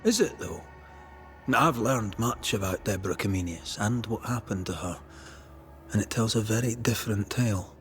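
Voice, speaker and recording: An actor playing a weary, blunt ghost banisher who speaks in a measured, accusing way. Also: male voice, lowish voice, expressive, close to an everyday voice. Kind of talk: video game cutscene acting. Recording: long reverb under game music.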